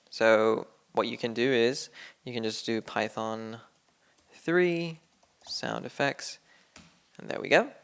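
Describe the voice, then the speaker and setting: Guy doing a coding walkthrough, low gravelly voice but clean, lots of uh and um. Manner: loose and rambling, many fillers. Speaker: coding instructor. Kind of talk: casual screen-share tutorial. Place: at a computer, screen recording.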